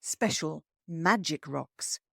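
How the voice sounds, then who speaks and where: A woman narrating a story aloud as host, in a dramatic, expressive and theatrical scripted style. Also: sits low, takes it slowly, gravelly.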